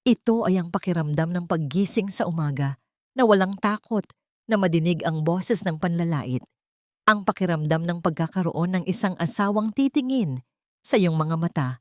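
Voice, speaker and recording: A female narrator with a slightly low voice reads a scripted love story. Her delivery is measured, solemn and full of sentiment. The recording is very dry, with no room sound around the voice. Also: darkish tone, dry recording.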